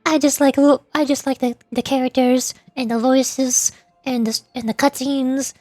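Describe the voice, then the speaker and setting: A woman's voice: sits high, little pitch movement, normal speed, darkish tone, no room sound. A woman streaming a game, chatting casually and rambling to her viewers in live commentary.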